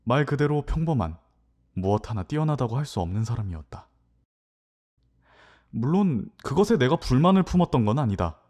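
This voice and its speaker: A man's voice: mid-range voice, sing-song, moderate pace, pauses often, darkish tone. A man playing a young farmer's son, narrating his own fantasy story in a reflective, literary way in an audio drama. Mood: wistful, reflective.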